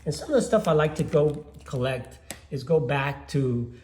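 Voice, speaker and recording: A man's voice: on the high side, normal speed, dark tone, echoey room, slight background noise. An older man from Cuba chatting casually about his collection, rambling with lots of fillers and restarts. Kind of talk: casual show-and-tell vlog.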